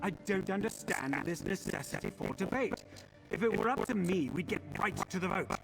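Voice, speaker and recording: An actor playing a stubborn, condescending wizard lord in a video game, with a sneering, pompous manner. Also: male voice, high-pitched, expressive, normal speed, creaky, over music, poor audio. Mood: smug disdain. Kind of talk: video game character dialogue.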